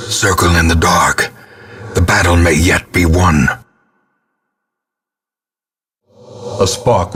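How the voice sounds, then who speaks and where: A very deep, husky old ghostly ancestor, narrates a gothic horror game. The delivery is very slow, solemn and portentous, with ornate theatrical weight. The character sounds grim and ominous, as if presiding over a doomed estate. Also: male voice, a bit bright, exaggerated voice. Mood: grave resolve.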